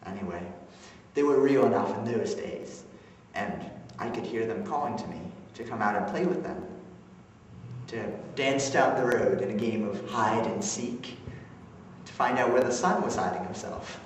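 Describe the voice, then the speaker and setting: A man playing a dreamy, melancholy young farm man in a hushed, halting stage monologue. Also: lowish voice, close to an everyday voice. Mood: wistful, dreamy. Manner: hushed, pensive, halting delivery. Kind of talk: theatrical dramatic monologue. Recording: echoey theater recording from far off, noisy.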